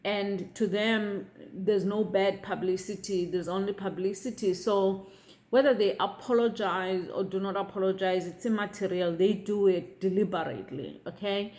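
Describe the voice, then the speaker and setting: A middle-aged woman shares her opinions in a casual vlog. Her delivery is unhurried and deliberate, and she keeps pausing to check that her viewers are following her. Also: mid-range voice, darkish tone. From South Africa. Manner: measured, repeats "okay?" to check in with viewers. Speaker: vlogger.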